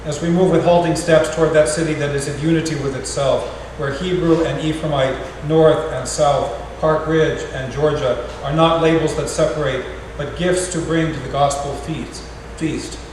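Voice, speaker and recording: A middle-aged man reads a poem in a gentle, wry, unhurried way. He is picked up from across a big reverberant parish hall, with a steady hiss of noise under his voice. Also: on the high side. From United States. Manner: gentle, wry, measured reading with pauses. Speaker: parish artist in residence reading a poem. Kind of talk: poetry reading at a farewell gathering. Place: large echoey parish hall. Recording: echoey hall from far off, noisy.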